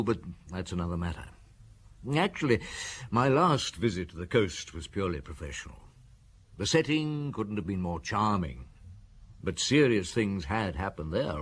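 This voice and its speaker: An actor playing a seasoned, genial middle-aged police inspector in a radio drama, conversational, wry and musing. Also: male voice, low voice, big pitch swings, moderate pace, slightly rough, exaggerated voice. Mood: reminiscing.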